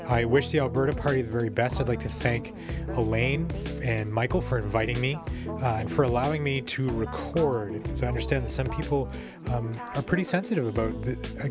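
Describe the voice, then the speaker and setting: A man with a Canadian accent giving a reflective, measured, conversational report as a podcast host. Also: medium pitch. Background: guitar music under the voice.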